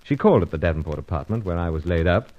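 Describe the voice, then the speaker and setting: An actor playing an unflappable British secret agent in a radio serial, with a clipped, understated British manner of speaking, on a dull old recording. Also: male voice, low-pitched, expressive, rapid-fire. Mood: measured, narrating. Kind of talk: old-time radio serial acting and narration.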